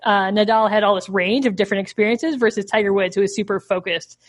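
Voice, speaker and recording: A woman's voice: mid-range voice, steady tone, fast talker. A young woman hosting a podcast, chatting casually about a book with frequent filler words. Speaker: podcast host.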